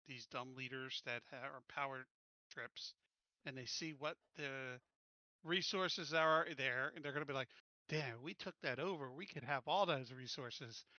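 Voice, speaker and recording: A man chats at a game table in a blunt, informal way. His medium-pitched voice is strikingly bright and forceful. The sound is very dry, with a slightly lo-fi dullness. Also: no echo. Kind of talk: casual game-table chat.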